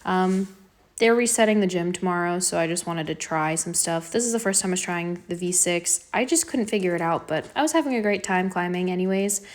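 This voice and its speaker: A woman's voice: lowish voice, a little fast. A young adult woman talking casually to camera in a personal vlog, rambling and confiding, with thoughts that trail off. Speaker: vlogger.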